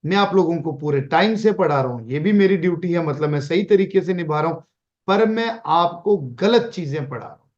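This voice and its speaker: A man's voice: on the high side, lively intonation, brisk, lo-fi sound. A man lecturing in an online class, mixing English phrases into Hindi and asking rhetorical questions. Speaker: Vastu teacher.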